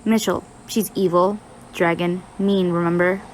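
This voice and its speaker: A woman's voice: on the low side, fairly even intonation, measured pace, slight background noise, natural voice, low-quality recording. A woman playing a blunt, teasing young college student with a dry, bossy manner in an audio roleplay.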